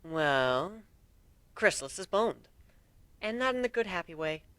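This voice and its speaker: A man's voice: high-pitched, very animated, a little slow, fairly bright tone, smooth, dry recording, voice pushed up, exaggerated voice. A man voicing a sly, playful female changeling wife in a fan-fiction reading. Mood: smug, amused. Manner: sly, matter-of-fact.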